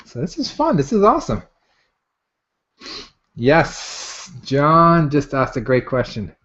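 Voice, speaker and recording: A man giving a casual live software demo in an informal, enthusiastic way, chatting with online viewers as he presents. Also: fairly high pitch, huge pitch swings, slow pace. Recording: dull, muffled lo-fi online audio.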